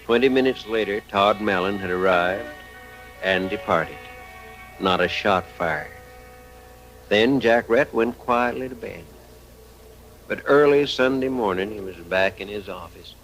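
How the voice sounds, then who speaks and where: A frontier storyteller narrates a radio western in a slightly low voice. Faint music plays behind the scripted tale. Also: male voice, a little slow, pauses often, a bit bright, rough recording. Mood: measured narration. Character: placating male western saloon keeper, also telling the tale.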